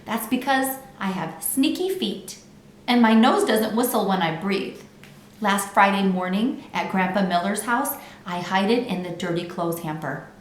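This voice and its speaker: A woman's voice: mid-range voice, expressive, unhurried, lightly acted. A woman reading a children's book as a brash, bragging little girl who talks in childlike, emphatic bad grammar. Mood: chatty, confiding. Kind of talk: children's book read-aloud. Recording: roomy echo with background noise.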